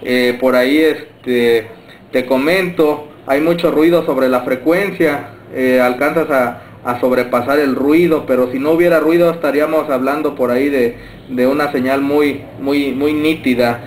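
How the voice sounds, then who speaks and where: A Mexican man giving signal reports as a radio operator, courteous and halting as he speaks indoors. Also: mid-range voice, fairly even intonation. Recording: roomy camera pickup with faint noise.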